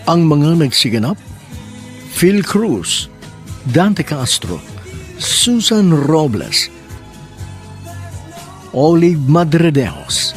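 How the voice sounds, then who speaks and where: A man hosts and narrates a radio romance drama in earnest, sentimental tones. His voice sits somewhat high and rises and falls with plenty of expression. Soft music plays faintly behind him. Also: wildly swooping pitch, extremely slow, long pauses.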